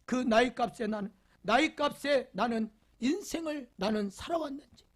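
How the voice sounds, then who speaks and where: Husky preaching pastor, loud then whispered. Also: male voice, very high-pitched, normal speed. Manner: repeats rhetorical questions, swinging from loud emphasis to near whispers; leads the congregation in call-and-response reading. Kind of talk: Sunday sermon.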